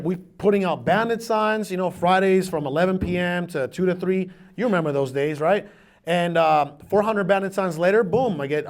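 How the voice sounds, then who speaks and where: A man at a meetup telling a story to a live audience, energetic and rambling, with restarts and questions thrown to the crowd. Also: a little high. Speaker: guest speaker at a real estate meetup.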